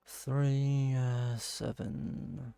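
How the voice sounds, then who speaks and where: A man with a relaxed, creaky voice talks through a game in casual commentary. He thinks out loud, muttering and exclaiming to himself. The sound is close and dry, with faint music behind him. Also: mid-range voice, very slow, no echo. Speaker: gaming streamer playing and commenting. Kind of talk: casual live gameplay commentary.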